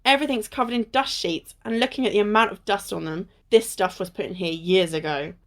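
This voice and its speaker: A woman's voice: mid-range voice, a little fast, a bit bright, lightly acted. A woman acting a wary, bossy, teasing young adventurer in an amateur radio play. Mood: matter-of-fact, teasing.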